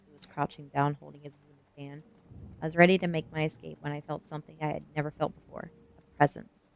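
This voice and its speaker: Low-voiced woman streamer, music behind. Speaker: streamer reading a novel aloud. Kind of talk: ASMR book reading, dramatic first-person narration; casual chat to stream viewers.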